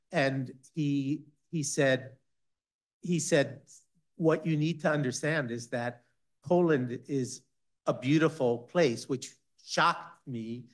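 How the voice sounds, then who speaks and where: A man on a discussion panel, recounting a personal story in a halting way with many restarts. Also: fairly high pitch. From Israel-based.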